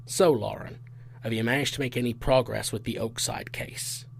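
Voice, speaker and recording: A man's voice: mid-range voice, fairly even intonation, moderate pace, gravelly, slight background noise, natural voice. A man playing a businesslike, questioning boss in an audio drama. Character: business-minded male boss in his thirties, questioning. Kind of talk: audio fiction acting.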